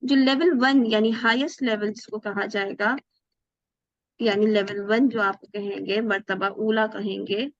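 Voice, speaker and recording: A woman's voice: medium pitch, steady tone, unhurried, no echo, lo-fi sound. A woman teaching a Quran recitation class online, patiently explaining rules and asking rhetorical questions.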